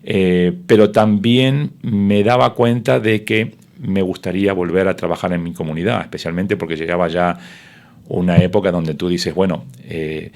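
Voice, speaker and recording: A man's voice: low-pitched, steady tone, normal speed, a little mellow. A middle-aged man, a sports broadcaster whose first language is Spanish, telling his story in an interview with many fillers and restarts.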